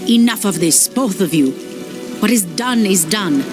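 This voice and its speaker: A woman's voice: mid-range voice, expressive, a little slow, over music, lightly acted. An actress playing a resolute, defiant female elf priestess. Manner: determined, defiant.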